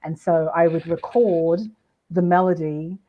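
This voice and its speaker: A woman's voice: fairly low pitch, unhurried. Chatty British woman, dull sound. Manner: animated, chatty, frequent restarts. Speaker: guest. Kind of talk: casual podcast chat.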